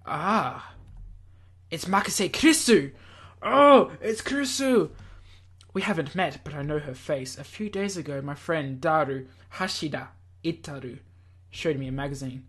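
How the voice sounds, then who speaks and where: Husky-voiced man hosting a game read-through. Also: a little high, measured pace, a bit bright. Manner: theatrical read-aloud mixed with offhand commentary. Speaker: let's play host reading a visual novel aloud. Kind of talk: game narration with casual asides.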